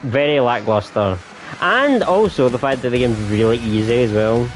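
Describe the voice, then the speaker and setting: Rambling, animated male game reviewer. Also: medium pitch, normal speed, soft background music, rough recording. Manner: laughing, rambling, restarts. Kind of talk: casual chat review.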